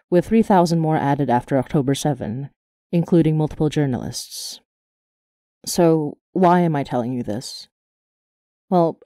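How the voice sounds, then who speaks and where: A podcast host reading a serious, scripted announcement in a measured, news-like way. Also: medium pitch, mellow, no echo, clean studio sound.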